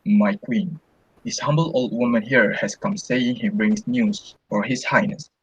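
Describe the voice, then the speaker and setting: A deferential counsellor to an ancient Greek king acted by a young male student speaks in a husky voice, as in amateur radio drama. The recording is dry and dull. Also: lowish voice, unhurried, no echo. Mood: respectful. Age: young adult. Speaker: student actor.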